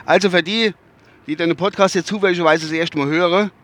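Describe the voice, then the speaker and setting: A man's voice: sits high, steady tone, brisk, a bit bright. A man with a Westphalian accent hosting his own podcast from inside his car while driving, rambling, groping for words and swearing casually. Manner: rambling, searching for words, many fillers and restarts. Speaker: podcast host. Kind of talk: casual spoken podcast monologue.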